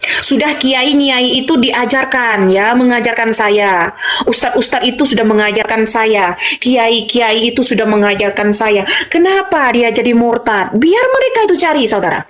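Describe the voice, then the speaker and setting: A woman's voice: on the high side, normal speed. A woman from Madura gives a fervent, informal religious testimony. She keeps repeating reassurances and calls her listeners brothers and sisters. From Madura, Indonesia. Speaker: convert giving a religious testimony.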